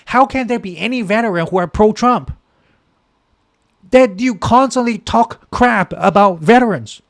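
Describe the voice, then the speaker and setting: A man's voice: high voice, no room sound, clean studio sound. A man hosting a political livestream, ranting with passion, repeating himself and thanking viewers in chat. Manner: impassioned, repetitive, thanks chat viewers by name. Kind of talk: heated political rant on a livestream.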